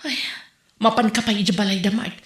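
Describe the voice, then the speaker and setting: A woman's voice: medium pitch, a little fast, roomy sound, close to an everyday voice. A woman playing a plain-spoken married village woman who frets about money in a comedy radio drama. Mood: fretful, complaining.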